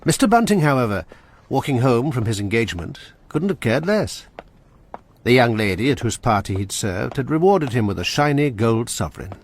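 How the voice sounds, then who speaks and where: A man narrating a radio drama in a measured storytelling manner, husky-voiced. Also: medium pitch, very animated, slight background noise.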